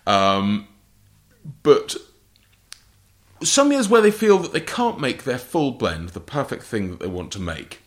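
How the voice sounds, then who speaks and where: Lively-pitched English man explaining wine. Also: measured pace. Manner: precise, explanatory, lists facts in short clipped phrases. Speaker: port wine author. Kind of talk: interview answers.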